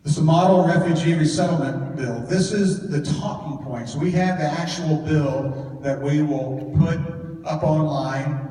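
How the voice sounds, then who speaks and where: A man moderating a forum in a church hall, moving the event along briskly as he makes closing announcements. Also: on the high side, dark tone, a little hiss or hum. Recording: echoey hall from far off with faint crowd.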